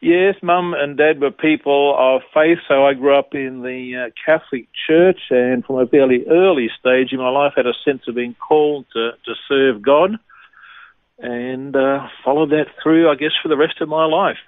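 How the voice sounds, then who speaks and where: A man from New South Wales with an Australian accent, calmly and earnestly telling his story of faith as a guest. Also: medium pitch, moderate pace, dry recording. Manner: calm, earnest, devout. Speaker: ministry leader, interview guest. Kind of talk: reflective conversational storytelling. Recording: tinny phone line.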